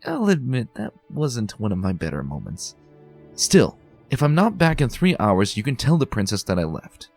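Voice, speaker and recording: A man's voice: mid-range voice, huge pitch swings, normal speed, darkish tone, faint music behind, voice pushed up. A man voicing a bookish, determined young female unicorn pony in a fan reading, earnest and anxious. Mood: insistent.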